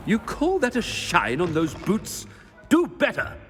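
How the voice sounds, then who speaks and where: An actor playing a pompous, irritable British headmaster in a video game, blustering and haughty. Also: male voice, high-pitched, wildly swooping pitch, unhurried, soft background music, exaggerated voice. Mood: imperious. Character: pompous, irritable male headmaster, flustered and imperious. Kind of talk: video game character acting.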